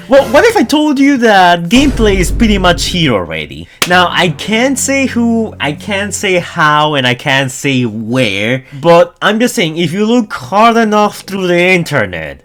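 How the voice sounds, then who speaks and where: Male gaming news host, medium pitch, highly animated intonation, informal and chatty, talks directly to the audience. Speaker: video host. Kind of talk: casual gaming news commentary.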